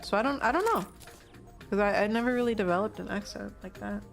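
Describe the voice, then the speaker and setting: A woman's voice: mid-range voice, quick pace, vocal fry, soft background music. A young American woman streaming a game, chatting casually with her viewers, swearing now and then and laughing often. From United States. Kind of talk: casual gaming livestream chat.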